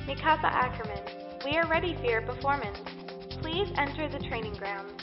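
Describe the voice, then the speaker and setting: A woman playing a brisk, formal announcer summoning recruits in a fan audio drama, with a dull lo-fi sound. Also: high-pitched, lively intonation, music in the background. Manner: formal, clipped.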